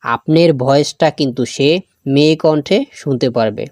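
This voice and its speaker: Man, tech tutorial host, step-by-step instructions, mid-pitched voice, repetitive phrasing, direct address to viewers. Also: moderate pace, darkish tone, no room sound, studio quality. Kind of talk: spoken step-by-step app tutorial.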